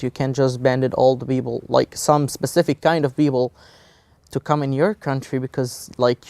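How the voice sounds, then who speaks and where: A young man from Egypt speaks in a smooth, resonant voice, close to the mic with no room sound. He answers plainly and bluntly, stopping and restarting often with fillers. He sounds like a student guest on a small studio show. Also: mid-range voice, a little mellow, dry recording. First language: Egyptian Arabic. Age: young adult. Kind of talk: casual interview answers.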